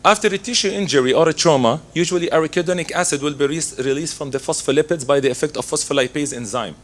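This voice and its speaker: A man with laryngitis, hoarse, giving a methodical medical lecture as a pharmacist, working through his slides. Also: a little high, fast, fairly bright tone.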